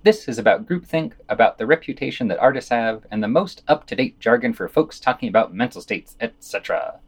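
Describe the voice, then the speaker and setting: A man's voice: mid-range voice, animated, brisk, breathy edge. A man hosting a podcast, reading scripted news commentary and quotations with dry, opinionated asides.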